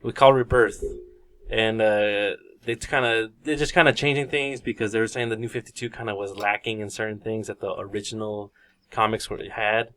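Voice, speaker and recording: A man's voice: on the low side, steady tone, moderate pace, fairly bright tone. A man of about thirty hosting a podcast in casual, informal group chat. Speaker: podcast host.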